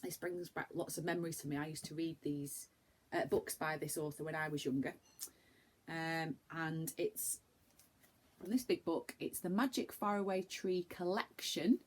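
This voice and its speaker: A woman reading a children's story aloud like a primary school teacher, with animated, dramatic emphasis for young listeners. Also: low voice, normal speed, slight background noise.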